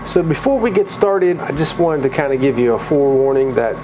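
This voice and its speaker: A man with a cold, a little raspy and tired, chatting casually through a coin pusher vlog in an arcade. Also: mid-range voice, fairly quick, background noise. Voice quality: slightly mumbled from a lozenge. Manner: chatty, excitable at wins. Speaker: vlogger.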